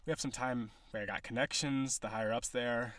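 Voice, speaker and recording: A man's voice: medium pitch, steady tone, moderate pace, a bit bright, dry recording, natural voice. A man playing a cocky, flirtatious businessman villain on a date in an audio roleplay, with a sardonic, teasing, conversational delivery. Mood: flirty, teasing.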